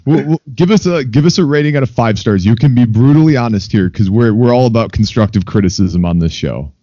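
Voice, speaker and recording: Guy cohosting a podcast, very mellow, casual and stop-start, dull lo-fi audio. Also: medium pitch, expressive, brisk, no room sound. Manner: informal, stop-start with repeated words. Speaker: podcast co-host. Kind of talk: laid-back casual interview chat.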